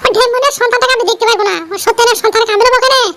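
Woman playing a cartoon village mother, extremely high voice, quick, scolding, quarrelsome. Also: fairly even intonation, pushed and bright. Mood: spiteful. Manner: scolding, abusive. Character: shrill quarrelsome young village wife and mother. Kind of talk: amateur comic cartoon dubbing.

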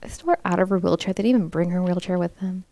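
A woman giving casual reaction commentary as a show host, in a halting, conversational way. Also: lowish voice, steady tone, creaky, dark tone, no room sound.

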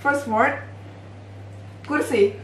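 Young Indonesian woman host, roomy and distant. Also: high-pitched. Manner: playful, quick to laugh. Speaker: vlog host. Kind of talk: casual word-guessing game. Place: bright indoor room, on a sofa. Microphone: far camera. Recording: roomy, distant, faint hiss.

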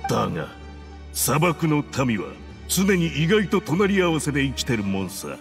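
A proud, grim fanatic commander from a desert video game. His gravelly voice swings widely in pitch as he declaims like a battlefield prophet, ringing out in long reverb over music. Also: big pitch swings, normal speed. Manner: theatrical, declamatory, rough-spoken. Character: defiant male desert fanatic warband leader, grim and proud. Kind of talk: dramatic video game voice acting.

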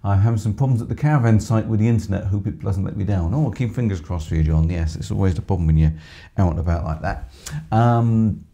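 A middle-aged man with a hoarse voice, chatting on a live stream from a small music shop studio, reading out viewer comments with lots of ums. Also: low voice, quick pace, dark tone. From England. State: hoarse from screaming. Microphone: headset with microphone.

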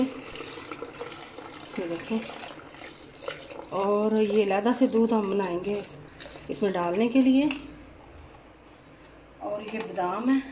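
A woman's voice: mid-range voice, dark tone, slight background noise. A woman hosting a cooking channel, chatting viewers through a recipe step by step and often asking them to look. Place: home kitchen, indoors. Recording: home kitchen with utensil clatter. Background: clattering dishes and utensils.

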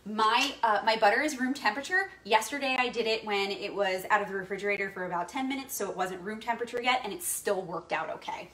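Young woman, bright voice, chatty teacher doing a home kitchen demo. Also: medium pitch, quick pace, hardly any pauses. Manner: chatty, explaining step by step. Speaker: history teacher. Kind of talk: cooking demonstration with history lesson.

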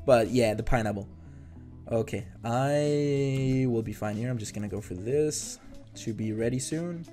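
Young Danish man, non-native English, mellow easygoing voice, excitable chatty game commentary over faint background music. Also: medium pitch, measured pace. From Denmark. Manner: excitable, chatty, trails off and restarts. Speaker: gaming YouTuber. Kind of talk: casual gameplay commentary.

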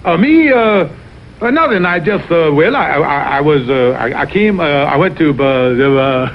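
A man's voice: a little high, expressive, moderate pace, slight background noise. A man voicing a scheming con-artist fox colonel in a 1960s cartoon, stammering and wheedling. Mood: flustered, evasive. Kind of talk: 1960s TV cartoon sitcom acting. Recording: dull vintage TV audio with music.

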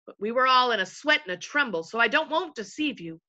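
Woman as a lively, rambling invisible servant chief. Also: fairly high pitch, a little fast, voice pushed up. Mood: rambling, confiding. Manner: rambling, digressive, folksy storyteller. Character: invisible male chief servant, rambling, folksy, self-important spokesman.

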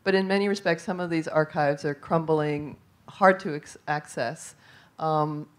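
Loud, brisk woman moderating a panel. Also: deep voice, steady tone. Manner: loud, brisk, self-deprecating. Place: theater stage at a panel table. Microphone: handheld microphone.

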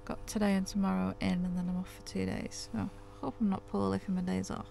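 A woman's voice: mid-range voice, flat delivery, quick pace, gravelly, warm tone, soft background music. A woman in her thirties from the UK, chatting casually to camera inside her camper van, a little stuffy with a sore throat. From United Kingdom. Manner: chatty, laughs often, coos at her dogs. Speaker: vlogger. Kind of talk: casual vlog chat to camera.

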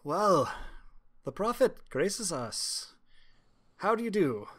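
Man voicing a breezy, higher-pitched tavern singer woman. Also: very animated, takes it slowly, a bit bright, heavily acted. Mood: welcoming. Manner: breezy, folksy, sociable. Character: cheerful, gracious female tavern singer and reluctant mayor.

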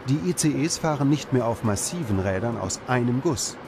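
A man reading scripted documentary narration in a measured, dramatic way. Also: medium pitch, animated, noisy background. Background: music bed.

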